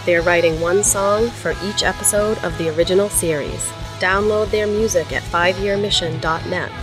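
A woman reading podcast credits in a smooth, scripted announcer delivery. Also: lowish voice, normal speed, poor audio. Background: guitar music.

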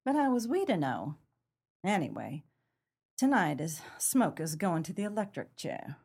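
An actress playing a tough, bitter 1930s gangster moll in a pulp crime radio play, slangy and dramatic. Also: female voice, lowish voice, very animated, a little slow, gravelly, a little mellow, husky, exaggerated voice. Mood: bitter, wistful. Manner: slangy, hard-boiled, dramatic.

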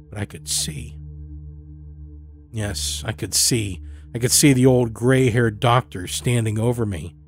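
A man reading scripted narration as the storyteller of a fiction podcast. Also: mid-range voice. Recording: music under the voice. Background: musical score.

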